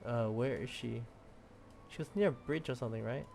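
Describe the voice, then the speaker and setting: A man's voice: mid-range voice, brisk, gravelly, a little mellow, a little hiss or hum. A man doing casual gameplay commentary, thinking aloud with brief reactions. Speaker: game streamer. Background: game music.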